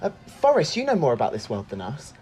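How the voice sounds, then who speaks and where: Eager young dreamer, very lively delivery. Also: male voice, a little high, fast talker. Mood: cheerful. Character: eager, bookish young man who believes in fairy tales. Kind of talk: audio drama acting.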